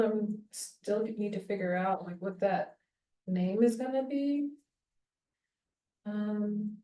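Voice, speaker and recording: A woman in her thirties chatting casually while doing her hair, meandering and self-deprecating, thinking out loud as she goes. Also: mid-range voice. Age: 30s. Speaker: small-business vlogger. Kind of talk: rambling casual chat. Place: home bathroom. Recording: echoey bathroom, voice far from mic.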